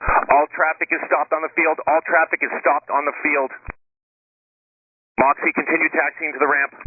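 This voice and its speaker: A man's voice: a little high, level tone, moderate pace. Atc man giving firm orders, tinny radio, lots of static. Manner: firm, repetitive commands. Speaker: air traffic controller. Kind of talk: authoritative emergency radio instructions. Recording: noisy, tinny aviation radio.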